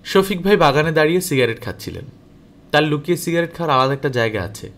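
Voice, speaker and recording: A man reading a story aloud as an audiobook narrator, in an expressive, animated way that dramatizes the dialogue. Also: fairly high pitch, quick pace. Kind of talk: expressive scripted story narration, first-person as a boy.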